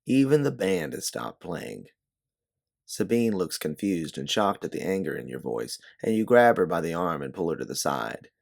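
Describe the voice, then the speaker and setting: A man's voice: low voice, sing-song, moderate pace, creaky, a little mellow. A man narrating a scripted story in the second person, as its author and storyteller.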